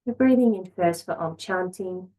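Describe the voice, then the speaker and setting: A woman teaching a yoga class, giving calm, gentle relaxation guidance in short phrases with long pauses. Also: lowish voice, rough recording.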